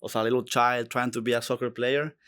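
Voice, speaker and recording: A young student athlete from Colombia, speaking English in an earnest, plain way. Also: male voice, medium pitch, flat delivery, a little fast, fairly bright tone, clean tone. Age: young adult.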